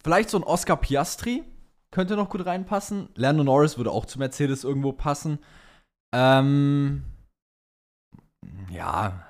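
A man's voice: fairly high pitch, animated, unhurried, pauses often. A man co-hosting a podcast in casual chat, dropping English words and phrases into his speech. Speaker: podcast co-host. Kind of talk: casual chat about motor racing.

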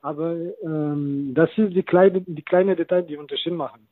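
A man in his thirties, a non-native German speaker, talking as a football coach guest with halting, hesitant phrasing. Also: on the high side, steady tone, no room sound. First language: not German. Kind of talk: casual interview talk. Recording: tinny phone-like line, very dry.

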